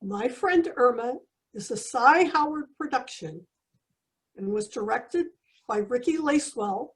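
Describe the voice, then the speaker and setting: A woman's voice: fairly high pitch, expressive, measured pace, low-quality recording. A woman announcer reading scripted credits for a radio comedy.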